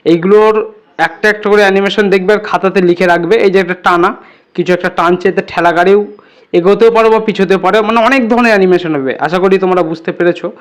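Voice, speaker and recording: Guy doing a screen tutorial, talks fast, clear smooth voice, keeps checking you follow. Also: high-pitched. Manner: instructional, repeatedly checking understanding. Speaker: tutorial host. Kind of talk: informal step-by-step screen tutorial narration.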